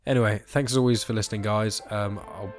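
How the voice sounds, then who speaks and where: Fast-talking congested young male podcast host. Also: fairly low pitch, steady tone, over music. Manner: rambling, many ums and sort-ofs. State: blocked nose from hay fever. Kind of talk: casual podcast chat.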